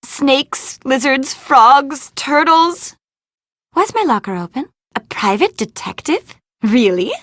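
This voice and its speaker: Spoiled young movie diva, high voice, swooping intonation, whiny, haughty, melodramatic, video game character. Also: female voice, expressive, takes it slowly, fairly bright tone, dry recording, studio quality, exaggerated voice. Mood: panicked, then indignant, uneasy. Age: young adult. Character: vain, dramatic young film starlet, self-absorbed diva.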